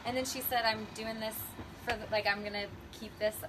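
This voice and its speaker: A woman's voice: on the high side, steady tone, brisk, background noise. A young woman chatting casually on an outdoor deck, full of "like" and "um", recorded by a distant camera. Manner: chatty, many fillers and "like". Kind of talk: casual chat.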